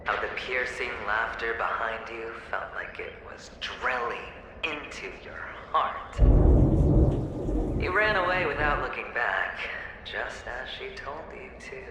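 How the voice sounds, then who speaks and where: Echoey, theatrical, mocking phantom. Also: male voice, mid-range voice, lively intonation, takes it slowly. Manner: taunting, theatrical, swooping between hushed and exclaiming. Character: mocking, theatrical phantom tormentor, gleefully needling. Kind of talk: video game cutscene acting. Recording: echoey, distant, loud background noise.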